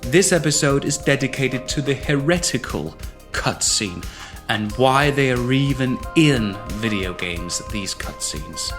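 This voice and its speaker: A man's voice: medium pitch, expressive, unhurried. A man hosting a video essay in scripted narration. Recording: music behind the voice. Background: background music.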